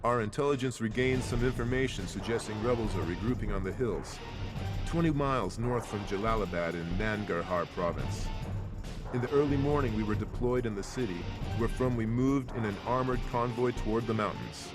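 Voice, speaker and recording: A man's voice: on the low side, brisk, natural voice. A man playing a battle-hardened Marine in a military shooter game, speaking as a soldier who tells of his missions. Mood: grave, resolute. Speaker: narrator and squad soldier. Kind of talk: scripted military narration. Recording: game music behind voice.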